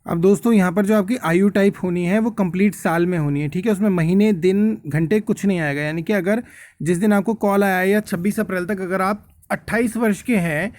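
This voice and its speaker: High-pitched man explaining step by step. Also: fairly quick, darkish tone, no room sound. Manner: instructive, repeatedly checks with "okay?"; mixes in English words. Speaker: quiz-prep tutor, channel host. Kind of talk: casual explainer addressed to viewers.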